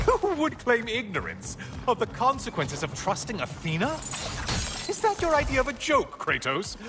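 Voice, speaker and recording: A man's voice: very high voice, wildly swooping pitch, normal speed, noisy background, full character voice. A man playing a smug, mocking god in a video game, needling his foe with theatrical sarcasm. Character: smug, mocking male god, a taunting tormentor. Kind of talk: cinematic video game acting. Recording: music behind.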